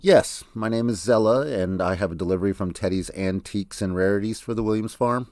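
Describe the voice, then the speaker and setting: A man's voice: on the low side, fairly quick, a little mellow, dry recording, studio quality, voice pushed up, lightly acted. A man voicing a polite, inquisitive young woman in an audiobook story. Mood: polite, businesslike. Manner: polite, questioning.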